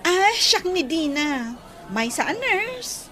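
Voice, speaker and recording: Woman playing a very high-pitched, chirpy, friendly nurse. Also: very animated, takes it slowly, bright, forceful tone, soft background music, full character voice. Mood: friendly, eager. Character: friendly, eager young woman working as a nurse. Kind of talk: Ilocano audio drama acting.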